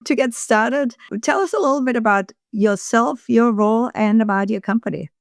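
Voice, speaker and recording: A woman's voice: fairly high pitch, normal speed, no room sound, clean studio sound. A woman hosting a podcast, asking direct, friendly interview questions. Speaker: podcast host.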